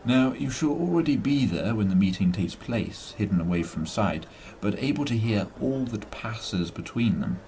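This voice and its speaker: Man playing a pompous, scheming politician villain, low-voiced. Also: animated, normal speed, few pauses, warm tone, slight background noise, low-quality recording. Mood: scheming, imperious. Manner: pompous, florid, formal wording. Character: smooth, scheming male politician villain, falsely cordial. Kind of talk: radio drama acting.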